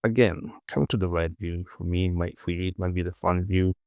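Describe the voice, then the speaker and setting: A man with a gravelly voice casually narrating a software tutorial, muttering short, repeated phrases to himself as he works. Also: sits low, fairly even intonation, normal speed, no room sound, studio quality. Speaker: tutorial host.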